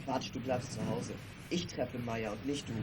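A man's voice: medium pitch, steady tone, moderate pace, lightly acted. A man playing a bossy, shady youth arranging secret deals in a children's radio play. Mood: bossy, secretive. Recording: distant voice under loud noise.

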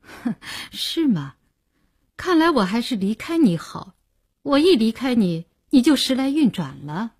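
A woman playing a cold, sarcastic, fed-up partner with a breathy, husky voice and curt, biting delivery in an audiobook. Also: fairly high pitch, sing-song, moderate pace, a little mellow. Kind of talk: dramatised audiobook dialogue.

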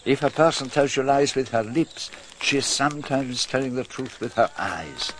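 A man's voice: medium pitch, normal speed, background noise, natural voice. A man playing a shrewd, courtly detective with a French accent in a radio drama, speaking with theatrical, probing courtesy. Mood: wry, shrewd.

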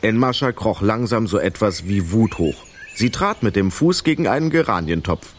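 Expressive male storyteller with lively intonation. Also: on the low side, normal speed, slight background noise. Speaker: narrator. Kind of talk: scripted storytelling in children's radio play.

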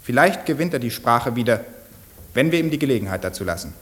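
Male actor, mid-range voice, earnest courtroom advocate for radio drama, solemn and rhetorical, pausing for emphasis. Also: mid-range voice, animated, a bit bright, natural voice. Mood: pleading, earnest. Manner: measured, rhetorical, emphatic pauses. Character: earnest, solemn defence lawyer pleading for mercy. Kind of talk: German radio play courtroom speech.

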